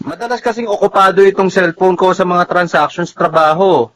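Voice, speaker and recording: An actor playing a busy, evasive younger brother in a radio drama, casually making excuses. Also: male voice, sits high, brisk, a bit bright, a little hiss or hum. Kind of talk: Tagalog radio drama acting.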